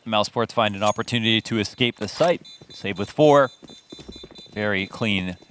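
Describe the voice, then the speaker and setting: Low-voiced male esports analyst. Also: moderate pace, fairly bright tone, a little hiss or hum. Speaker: esports colour commentator. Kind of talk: conversational analysis commentary.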